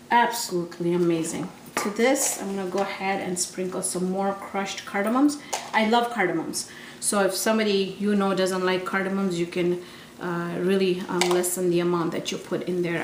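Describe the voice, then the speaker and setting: A woman from Tanzania giving a casual, enthusiastic home cooking demonstration, repeating words for emphasis. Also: on the low side. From Tanzania, East Africa. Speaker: home cooking show host. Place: indoor kitchen. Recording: echoey room with faint background noise.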